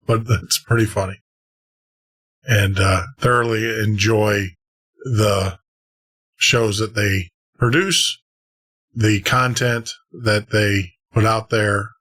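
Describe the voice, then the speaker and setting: A man's voice: medium pitch, sharp tone. A man hosting a late-night podcast, chatting casually and rambling with chuckles before introducing an old radio show. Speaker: podcast host.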